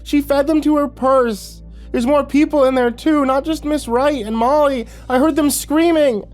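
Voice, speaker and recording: A frightened eleven-year-old boy, shrill and high, voice cracking through sobs, as a male storyteller puts him on. He sounds far above a grown man's pitch, pleading loud and raw, with faint music underneath. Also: fairly even intonation, normal speed. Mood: sobbing.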